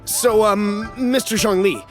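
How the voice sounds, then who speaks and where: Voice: mid-range voice, steady tone, takes it slowly, natural voice. An actor voicing an anxious, deferential merchant in a video game, with a flustered, very polite manner. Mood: apologetic. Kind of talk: scripted video game dialogue. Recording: music bed behind voice.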